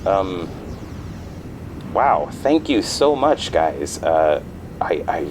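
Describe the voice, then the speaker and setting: A man playing an uneasy man who lives alone, hesitant and halting, in a naturalistic audio drama phone conversation. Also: low-pitched, sing-song, noisy background, heavily acted. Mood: uneasy, grateful. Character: uneasy man living alone in a creepy house. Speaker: caller.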